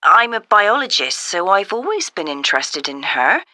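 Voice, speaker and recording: A friendly caller asking about a holiday outing in a scripted dialogue. Her voice is bright and very animated, polite and keen. The recording is dry and close. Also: on the low side, normal speed, dry recording. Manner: polite, enthusiastic. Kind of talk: scripted listening-test phone dialogue.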